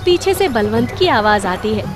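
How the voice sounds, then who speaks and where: A woman's voice: fairly high pitch, very animated, moderate pace. A woman reading scripted dramatic narration for a cartoon story. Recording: music under the voice.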